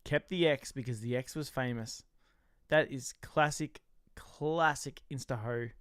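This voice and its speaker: An Australian man co-hosting a casual recap chat, loud, jokey and excitable, trash-talking on a close mic. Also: mid-range voice, measured pace, clear, resonant tone, no room sound. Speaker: podcast co-host.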